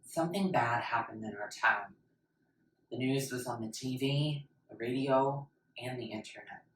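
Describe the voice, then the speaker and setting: A woman's voice: rumbling low, measured pace. A woman narrating in the style of a dramatic book reading. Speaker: actor narrating. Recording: echoey, distant mic, faint noise.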